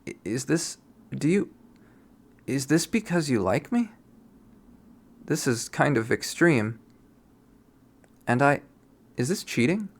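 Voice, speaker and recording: A man's voice: medium pitch, very animated, slow, pauses often, exaggerated voice. A man voicing a confused, hesitant protagonist in a visual novel. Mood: flustered, hesitant.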